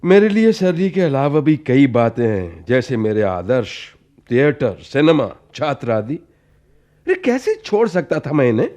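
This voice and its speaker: An actor in a radio play playing a middle-aged, avuncular and self-absorbed theatre director, deliberate and mixing English words into his speech. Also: medium pitch, big pitch swings, a little mellow. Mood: resigned, defensive.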